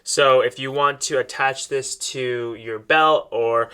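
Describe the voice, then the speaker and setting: A man's voice: mid-range voice, steady tone, slow, pushed and bright, clear, resonant tone. A man presenting a product in a casual, step-by-step unboxing style, explaining things directly to viewers as he demonstrates.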